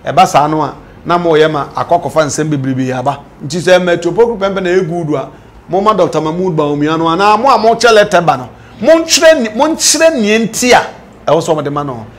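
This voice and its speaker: A man's voice: on the high side, lively intonation, a little fast. A middle-aged man with a Ghanaian accent discussing politics emphatically, asking pointed questions and repeating his phrases. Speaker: political commentator. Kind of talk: animated political discussion, switching between languages. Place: indoor studio set.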